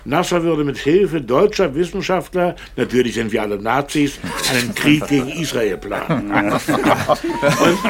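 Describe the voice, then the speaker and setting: An actor playing a self-assured German professor who chairs an engineers' meeting in a brisk, commanding, formal manner in a radio drama. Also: male voice, mid-range voice, lively intonation, slight background noise, close to an everyday voice. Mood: indignant announcement. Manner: commanding, orderly, addresses the room formally.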